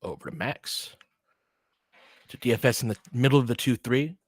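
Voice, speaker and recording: A man's voice: medium pitch, moderate pace, no echo. A man calling a basketball game in a conversational, slangy way, swearing casually as he mixes play-by-play with analysis. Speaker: podcast host doing play-by-play.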